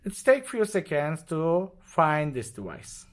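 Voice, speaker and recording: A man with non-native, halting English walks step by step through an unscripted product demonstration. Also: a little high, wildly swooping pitch. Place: indoors at a desk with devices.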